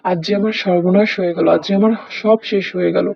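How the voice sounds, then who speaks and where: Cartoon role: desperate poor villager played by a man, high and gravelly, imploring. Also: steady tone, quick pace, dry recording. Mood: despairing. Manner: plaintive, imploring. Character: poor, desperate village farmer pleading for his land. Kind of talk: melodramatic cartoon dialogue. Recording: dull lo-fi cartoon audio.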